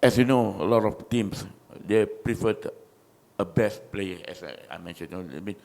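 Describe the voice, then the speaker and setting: Man, journalist, low gravelly voice, rambling press questions. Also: normal speed, darkish tone. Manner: long, rambling questions with fillers. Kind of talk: asking questions at a press conference.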